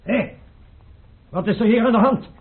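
An actor playing a capricious, scornful bully of a Russian tsar in a Dutch radio play. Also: male voice, extremely high pitch, normal speed, phone-quality sound. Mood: mocking, blustering. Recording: noisy, band-limited old radio recording.

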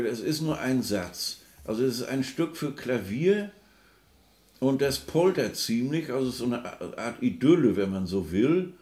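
Musing music expert, slow pace, hesitant, searching for words, roomy echo, radio play. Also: male voice, medium pitch, darkish tone. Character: musing, halting male music expert explaining a piece. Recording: roomy, reverberant sound.

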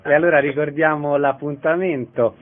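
Radio interviewer, tinny band-limited sound. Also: male voice, fairly high pitch, steady tone, normal speed.

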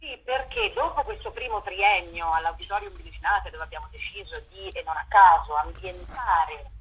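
A woman's voice: lowish voice, animated, moderate pace, fairly bright tone, slight background noise. A woman festival curator, a guest on a radio interview, explaining her work at length in a thoughtful way over a phone line. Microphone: telephone.

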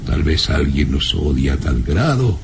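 An actor playing a stern, haughty old baron in a radio drama, speaking in a grave, formal, theatrical way. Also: male voice, a bass voice, big pitch swings, a little slow, gravelly, background noise, heavily acted. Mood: cold, stern. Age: older.

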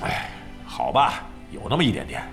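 An actor in a Chinese audio drama playing a proud, washed-up older gamer with a gruff, foul-mouthed, defensive manner. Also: male voice, rumbling low, huge pitch swings, normal speed, fairly bright tone, heavily acted. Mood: grudging. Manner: blustery, cursing, defensive. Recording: background music under the dialogue.

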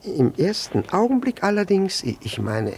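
Nervous jealous husband in a radio play, middle aged, stutters n repeats himself, very up and down voice, bit of noise behind. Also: male voice, sits high, sing-song, normal speed, creaky, darkish tone, exaggerated voice. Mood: anxious, apologetic. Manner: stammering, hesitant, repeats words, fussily polite. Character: flustered, jealous, nervously polite husband in Petersburg. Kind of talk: German radio play acting.

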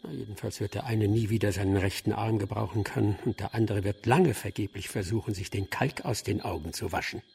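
Gravelly, wry older official. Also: male voice, on the low side, darkish tone, exaggerated voice. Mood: sardonic. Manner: dry, sardonic, measured. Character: wry, shrewd older male official, dry and curious. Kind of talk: German radio drama acting.

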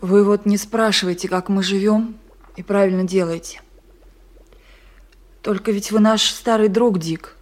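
An actress playing a weary, despairing mother in a radio drama, speaking haltingly and with resignation. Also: medium pitch, steady tone, darkish tone, lightly acted. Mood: bitter, resigned. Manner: halting, repeats phrases, resigned.

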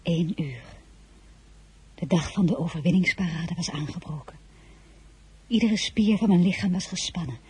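A tender yet proud royal wife in a radio drama. Her voice is mellow and very breathy, intimate and hushed, full of feeling. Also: lowish voice, moderate pace, long pauses. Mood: tense, reflective. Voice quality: soft, breathy. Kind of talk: audio drama acting with first-person narration.

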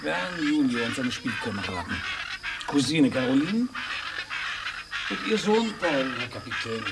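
An actor playing a pedantic, self-important schoolteacher in a radio play, creaky and musing in long wordy sentences. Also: male voice, medium pitch, big pitch swings, unhurried, very noisy. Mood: smug, musing. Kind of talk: German radio play acting.

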